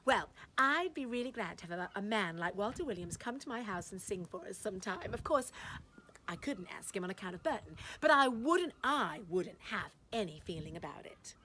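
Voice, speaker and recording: A woman's voice: medium pitch, lively intonation, moderate pace, pushed and bright, a little hiss or hum. A woman voicing a gushing, condescending society lady at a party, breathless and fluttery, with giggles and gasps, in a dramatic short-story reading.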